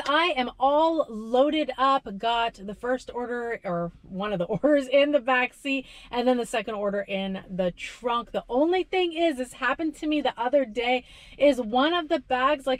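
A woman's voice: a little high, dry recording. Chatty woman vlogging from her car. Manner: chatty, animated, talks with her hands. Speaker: delivery driver vlogger. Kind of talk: casual vlog narration. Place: inside a parked car. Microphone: camera on the dashboard.

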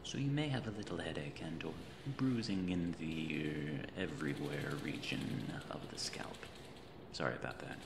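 A man's voice: extremely low pitch, normal speed, gravelly. A man playing a creepy yet cheerful mad reanimator in an ASMR roleplay, talking in a theatrical, fussy, rambling way with polite macabre humour. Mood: fussy, eccentric. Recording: roomy echo with noisy ambient backdrop.

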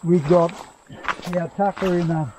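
Elderly Aussie farmer, high voice, loud outdoor noise. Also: male voice, steady tone, a little slow. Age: 70s. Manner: rambling storyteller, swears when startled. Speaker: cane farmer and guide. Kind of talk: casual chat outdoors. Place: outdoors on a boat and in island bush.

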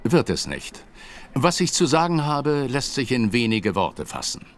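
A man's voice: mid-range voice, big pitch swings, unhurried. An actor playing a ruthless, vengeful aristocrat and grieving father who makes cold, clipped threats in a radio drama. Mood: accusing. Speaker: villain.

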